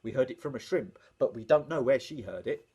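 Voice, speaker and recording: A man's voice: medium pitch, lively intonation, fairly quick, dark tone, breathy edge, dull audio. A man voicing helpful sea creatures passing on a rumour in a lilting storybook delivery.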